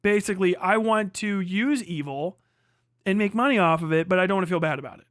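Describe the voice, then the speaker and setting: Male streamer, high-voiced, dry close sound. Profane, argumentative reaction commentary, emphatic and repetitive. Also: fairly quick, a bit bright, dry recording. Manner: profane, combative, ranting. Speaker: livestreamer reacting to a video. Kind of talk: heated off-the-cuff commentary.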